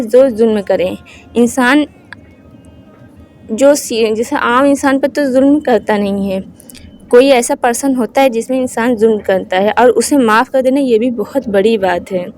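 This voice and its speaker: A woman host giving an informal religious talk to viewers, explaining haltingly and correcting herself as she goes. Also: fairly high pitch, darkish tone, slight background noise. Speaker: host of Islamic advice channel.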